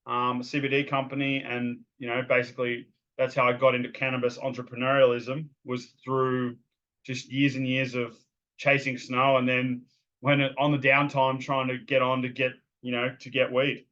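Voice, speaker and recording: A man's voice: mid-range voice, monotone, moderate pace, fairly bright tone, muffled recording. A man with an Australian accent, a guest telling his story in a loose, rambling way with lots of ums and restarts. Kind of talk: casual interview talk.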